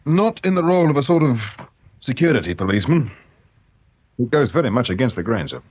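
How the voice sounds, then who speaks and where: A man playing a polite, sceptical and quietly stubborn English police inspector in a radio drama, understated and measured. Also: medium pitch, big pitch swings, breathy edge. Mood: quietly defiant. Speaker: actor playing a detective inspector.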